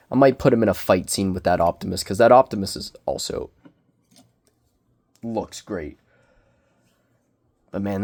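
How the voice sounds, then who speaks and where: A young man with a cold, sniffling as he reviews a collectible figure in casual, self-deprecating, excitable rambling. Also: fairly low pitch. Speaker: toy reviewer. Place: small bedroom.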